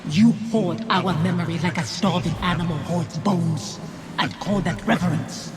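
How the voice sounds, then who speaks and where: A woman playing the reproachful apparition of a commander's lost loved one in a video game, with measured, accusing, poetic delivery. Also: on the low side, close to an everyday voice. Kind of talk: dramatic video game acting. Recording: dramatic music behind the voice. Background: game music.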